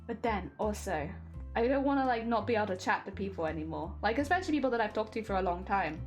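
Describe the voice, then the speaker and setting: A woman's voice: medium pitch, expressive, fast, faint music behind. A young woman chatting casually on a craft stream while sewing, rambling with lots of fillers and a slight winter cough.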